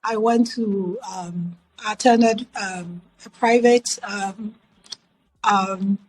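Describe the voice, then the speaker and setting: A middle-aged woman with a Ghanaian accent, telling her life story as an interview guest in a measured, reflective way with emphatic repetition. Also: mid-range voice, a little hiss or hum, rough recording.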